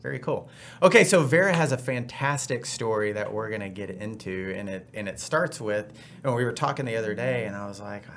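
A man's voice: on the low side, animated, a little fast, a bit bright, a little hiss or hum. A man hosting a podcast, upbeat and chatty with rambling asides. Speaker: podcast host. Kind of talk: casual podcast chat.

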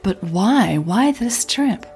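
A woman voicing a curious, wry female tech blogger in an audio drama, with a husky, breathy voice and a questioning manner. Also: medium pitch, animated, takes it slowly, darkish tone, soft background music, voice pushed up.

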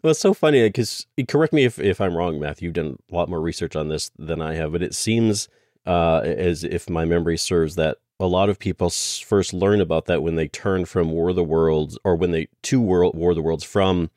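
A man's voice: low-pitched, normal speed, a little mellow, dry recording, clean studio sound. A man chatting casually as a podcast co-host, with a joking conversational style.

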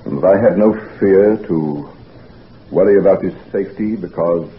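An actor playing an anguished, secretive convalescent gentleman doctor in a brooding, melodramatic 1940s radio drama style. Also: low voice, moderate pace, lightly acted. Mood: guarded. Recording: noisy, tinny 1940s radio recording.

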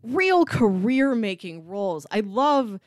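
A woman's voice: mid-range voice, big pitch swings, slow pace, darkish tone, no room sound, clean studio sound. A woman co-hosting a podcast, casually discussing films in an enthusiastic, analytical way with frequent emphasis. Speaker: podcast co-host.

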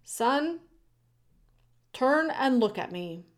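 A stern, self-important male head mouse from a storybook, played by a woman reader. The voice is raised in pitch and formal, with measured, judicial authority. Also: expressive, full character voice.

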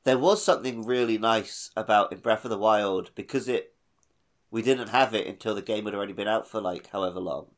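A man's voice: lowish voice, fairly quick. A man co-hosting a podcast, talking in a casual, conversational way. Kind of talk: casual chat.

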